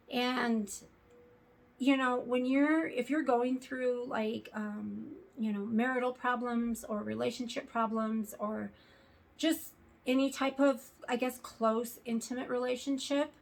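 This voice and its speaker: A young adult woman with a sore throat and a raspy voice, talking earnestly to camera in a casual vlog with lots of fillers. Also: a little high, steady tone, takes it slowly, rough recording. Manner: earnest, hesitant, many fillers. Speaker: vlogger.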